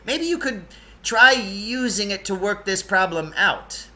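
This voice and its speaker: A man's voice: high-pitched, lively intonation, a little slow, pushed and bright, pitched above the performer's own voice, exaggerated voice. A man voicing a jumpy, fussy and proud guide from another world in a storybook, hesitant at times and touchy. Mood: fussy, hurried. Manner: hesitant stammering, then emphatic and touchy.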